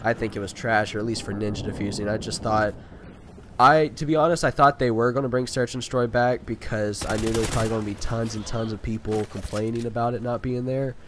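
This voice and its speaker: A stuffy, nasal man who is sick talks in a rambling, filler-heavy way. His voice sits a little low, and loud game gunfire plays clearly underneath it. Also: steady tone, fast talker, noisy background. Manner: rambling, talkative, frequent fillers. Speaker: gaming video creator. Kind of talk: casual gameplay commentary. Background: video game gunfire and sound effects.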